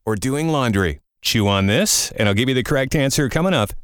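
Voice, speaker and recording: A man's voice: medium pitch, animated, brisk, clear, resonant tone, no echo, clean studio sound. A middle-aged American man hosting a podcast in upbeat morning-radio style, with jokey, informal asides. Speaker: podcast host. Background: music.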